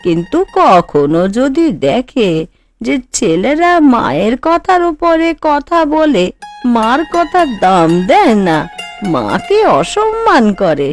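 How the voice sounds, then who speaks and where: A woman voicing a chatty older neighbour in a cartoon, gossipy and emphatic, flattering and full of advice. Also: high voice, full character voice. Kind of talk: Bengali cartoon voice acting. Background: background music.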